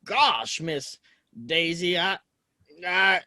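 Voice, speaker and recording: A man's voice: high voice, drawn-out words, sharp tone, dry recording, exaggerated voice. A man acting an earnest, plain-spoken American cowboy in a Western audio play, shy but willing to stand up for himself, with folksy, hesitant talk. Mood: flustered.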